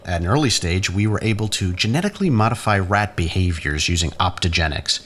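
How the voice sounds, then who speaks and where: Audio drama male actor as embittered, sardonic scientist brother: deep, highly animated, theatrical and learned. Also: full character voice. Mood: wry, superior. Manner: mocking, theatrical, erudite. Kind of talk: scripted dialogue in a science fiction audio drama. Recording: faint noise and room ambience.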